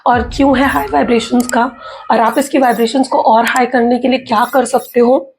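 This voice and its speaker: A woman tarot reader with an Indian accent, hoarse from a sore throat, chatting casually to viewers and checking in with "okay" as she explains. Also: on the high side, level tone, moderate pace, a little hiss or hum. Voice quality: husky. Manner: chatty, mixes in English, frequent "okay" and "theek hai" check-ins.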